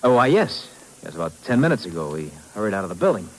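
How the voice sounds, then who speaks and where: An actor playing a scheming, cold-blooded American killer in a 1950s radio drama, with a sly, gangster-like delivery. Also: male voice, low voice, very animated, quick pace. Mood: flustered, evasive. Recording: noisy old radio recording.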